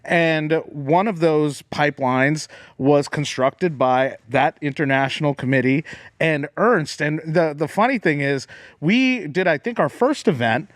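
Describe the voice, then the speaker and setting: Halting man with a higher voice. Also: no room sound, studio quality. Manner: halting, many restarts. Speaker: political club organizer. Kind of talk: casual interview talk.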